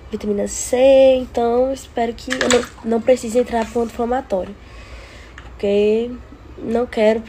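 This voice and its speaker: A woman's voice: on the high side, background noise. A young Brazilian woman in her twenties chatting casually to her followers through a phone at arm's length, sounding sick with a cold. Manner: chatty, breezy, animated when venting. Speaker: influencer. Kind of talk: casual vlog stories to followers. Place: home living room and kitchen. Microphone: phone held at arm's length.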